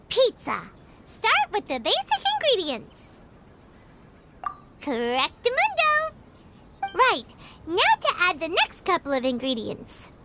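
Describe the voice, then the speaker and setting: Squeaky, very high, bouncy tiny fairy sidekick, chatty, over loud game music. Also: female voice, heavily acted. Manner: talks about herself in third person. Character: tiny floating fairy companion, bubbly and chatty.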